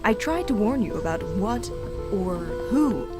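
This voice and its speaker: Cold, edgy, cynical facility worker, played by a woman, mid-pitched and curt, audio drama over loud music. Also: sing-song, a little slow. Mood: cold, warning. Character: guarded, cynical, edgy facility entity, warning coworker.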